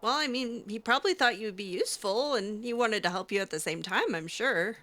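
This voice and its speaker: A woman roleplays a young circle mage in a fantasy game, with a crisp, bright voice at a medium pitch. She is curious and wryly skeptical, speaking hesitantly and casually as she thinks aloud. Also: natural voice. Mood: curious, frank. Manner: hesitant, conversational, halting asides. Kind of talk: improvised video game roleplay.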